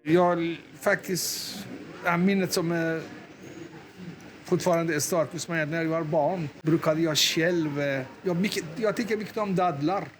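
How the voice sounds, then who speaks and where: A man from southern Iran who speaks haltingly, with many restarts, as he recalls his childhood in an interview. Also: a little high, faint music behind. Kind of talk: personal memories in an interview. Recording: background noise.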